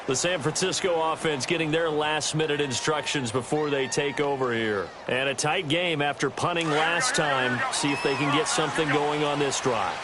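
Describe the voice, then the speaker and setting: A man with an American accent calling football plays in a video game, with crisp, descriptive announcer delivery. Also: a little high, moderate pace, hardly any pauses, natural voice. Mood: focused. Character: polished male TV football play-by-play announcer. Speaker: play-by-play sports commentator. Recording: loud game crowd noise behind voice. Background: stadium ambience of a football video game.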